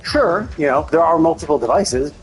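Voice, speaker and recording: A man's voice: on the high side, animated, normal speed, soft background music, rough recording. A man giving rambling, critical live commentary as he plays, repeating himself for emphasis. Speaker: game streamer. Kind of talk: casual live game commentary. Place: live stream, game music behind.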